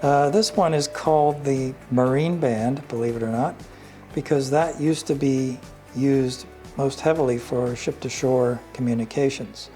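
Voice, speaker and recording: An older man giving a casual, unhurried product walkthrough, often pausing mid-sentence as he explains. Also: mid-range voice, steady tone, mellow, soft background music. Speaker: vintage radio reviewer.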